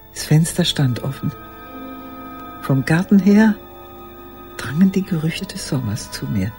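An actress playing a lonely old woman who reminisces in measured, reflective phrases in an intimate radio play monologue. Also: fairly low pitch, dark tone. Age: older. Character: old woman recalling her childhood and lost love, lonely.

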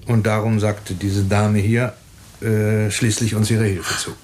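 Guy doing a calm police inspector, kinda low voice, explains stuff slow and steady. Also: a little hiss or hum, close to an everyday voice. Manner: measured, explanatory. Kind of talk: radio drama acting.

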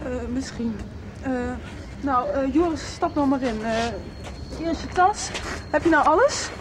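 An actress playing an affectionate, teasing young girlfriend in a radio soap, emotional and a little halting. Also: high-pitched, animated, background noise. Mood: reluctant farewell.

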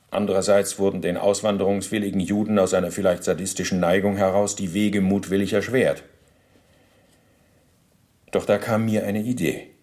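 A man acts out a former SS officer giving testimony in a radio drama. The voice is low, and the wording is stiff officialese. He justifies himself in pedantic detail and stays formally deferential to his interrogator. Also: darkish tone, natural voice. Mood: officious, explanatory. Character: male former SS officer under interrogation, self-justifying bureaucrat. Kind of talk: radio play, testimony monologue.

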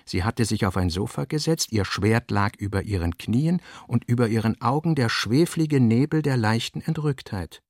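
A man reading scripted literary narration for a radio play in a measured, articulate manner. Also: medium pitch, lively intonation, a little mellow, dry recording, studio quality.

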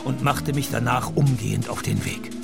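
A man's voice: medium pitch, moderate pace, creaky, over loud music. An actor playing a laconic, wry male private detective in a German-language radio play, dry and ironic as he narrates and talks. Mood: dry narration.

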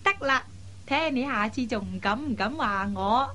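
A woman's voice: a little high, animated, moderate pace, pushed and bright. A woman playing a playful, headstrong young air hostess in a Cantonese radio drama, pouty and teasing. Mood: coaxing. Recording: faint background noise.

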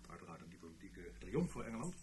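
An actor playing an earnest British intelligence officer, giving a formal, measured explanation in a Dutch radio play. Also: male voice, sits low, very animated, noisy background. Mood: grave, explanatory. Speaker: intelligence briefer.

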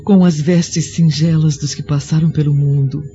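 A woman playing a gentle, devout Roman noblewoman in prison, who speaks slowly and solemnly in a radio drama. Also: sits low, fairly even intonation, natural voice. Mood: resolute. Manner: slow, solemn and tender. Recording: dull lo-fi recording with music. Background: soft music score.

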